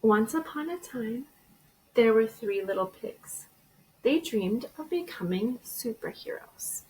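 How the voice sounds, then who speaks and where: High-pitched woman reading a storybook. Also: lively intonation, slow pace, a little mellow, poor audio. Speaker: elementary school teacher. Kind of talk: expressive children's storybook read-aloud.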